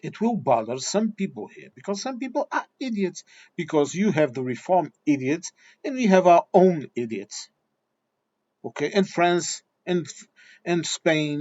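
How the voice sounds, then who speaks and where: A man's voice: fairly high pitch, animated, measured pace, darkish tone, no echo, lo-fi sound. Blunt Portuguese-accented man ranting. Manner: blunt, combative, addresses viewers directly. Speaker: video commentator. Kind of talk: ranting monologue.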